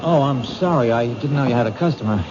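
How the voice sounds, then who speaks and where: An actor playing an earnest, protective police officer in an old-time radio drama, gently persistent in his speech. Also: mid-range voice, fast talker, natural voice. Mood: friendly, concerned. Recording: noisy lo-fi old radio recording.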